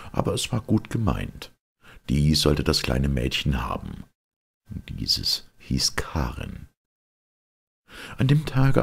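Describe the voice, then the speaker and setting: A man narrating a fairy tale as an expressive storyteller, with a gravelly, husky voice and dramatic emphasis. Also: very deep voice. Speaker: audiobook narrator.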